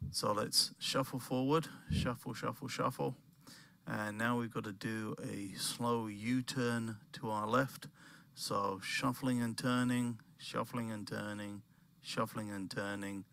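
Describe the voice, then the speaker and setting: Man playing a ski guide giving step-by-step cues, slow-paced and calm, with a gravelly low voice. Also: dry recording. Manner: calm, repetitive, directive cues. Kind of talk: step-by-step spoken guiding commentary.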